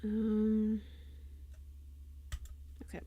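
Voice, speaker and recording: A woman chatting casually on a livestream, thinking aloud in a halting, self-deprecating way, often muttering to herself. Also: mid-range voice, monotone, narrow, tinny audio. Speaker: livestream host, voice performer.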